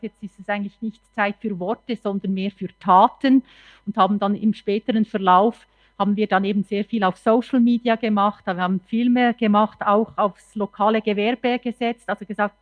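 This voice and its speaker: A woman's voice: medium pitch, no echo, narrow, tinny audio. A woman, a politician speaking spontaneously in a panel discussion.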